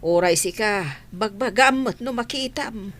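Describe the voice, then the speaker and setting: A woman's voice: medium pitch, a little slow, lightly acted. A woman with a Filipino accent playing an exasperated older mother, complaining, in a radio drama.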